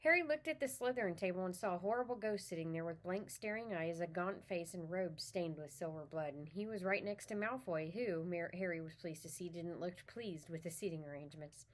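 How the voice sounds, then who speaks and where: A woman's voice: on the low side, fairly quick, few pauses. Woman reading a children's book aloud. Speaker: read-aloud reader for children.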